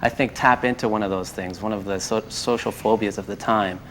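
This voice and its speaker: A man's voice: on the low side, steady tone, brisk, a bit bright, slight background noise. A man speaking as a guest panelist in a conversational film discussion, thinking aloud as he goes.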